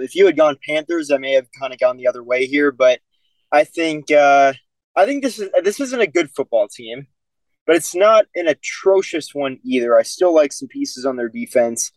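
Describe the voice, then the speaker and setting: A man's voice: a little high, dry recording, low-quality recording. A man co-hosting a casual sports talk show, laid-back and hedging as he makes his pick. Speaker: podcast co-host.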